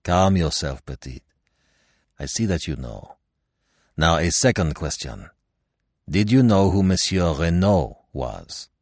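A calm, fatherly detective who speaks quietly and deliberately, his voice low and soft, as a male narrator plays him. The reading is dry and close, like a storybook read aloud. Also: no echo, full character voice. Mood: soothing. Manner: quiet, measured. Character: famous little Belgian detective, calm and fatherly.

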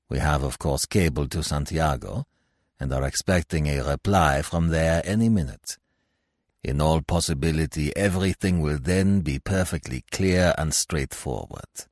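A man voicing a courteous French examining magistrate in an audiobook mystery, speaking in a formal, deliberate, polite way. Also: extremely low pitch, vocal fry, dry recording, clean studio sound, exaggerated voice. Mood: considered, conciliatory. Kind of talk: acted dialogue in audiobook mystery.

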